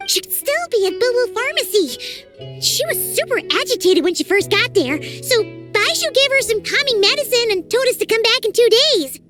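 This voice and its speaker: A woman's voice: very high-pitched, lively intonation, moderate pace, music in the background. A woman voicing a tiny, chatty female companion in a video game, eager and talking about herself in the third person. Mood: excited.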